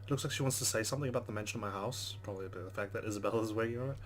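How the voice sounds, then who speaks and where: Fast-talking, low-voiced man reading aloud. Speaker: let's play host. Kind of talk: reading game text aloud with casual commentary.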